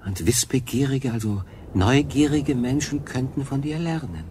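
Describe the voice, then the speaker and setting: An actor playing a stranded solo sailor in a radio drama, pragmatic and thoughtful, speaking in a plain, pensive way. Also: male voice, mid-range voice, expressive, moderate pace, noisy background, close to an everyday voice.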